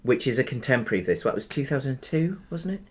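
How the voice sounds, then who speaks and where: A man's voice: mid-range voice, sing-song, fast talker, slightly rough. A man in his thirties, co-hosting a casual film chat over a headset at home, talking with many ums and restarts. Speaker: podcast co-host. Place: home room, indoors.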